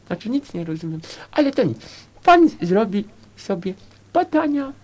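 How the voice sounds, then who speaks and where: A woman voicing a pompous, flustered professor of medicine in a comic radio sketch, repeating words in quick bursts. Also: medium pitch, big pitch swings, dark tone. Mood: brisk, dismissive.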